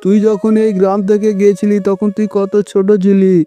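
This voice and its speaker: A man's voice: high voice, completely flat delivery, normal speed, mellow, smooth, faint music behind, close to an everyday voice. A man playing a proud, anguished poor farmer husband in a cartoon, speaking emphatically. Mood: amazed, joyful. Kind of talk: dramatic cartoon story acting.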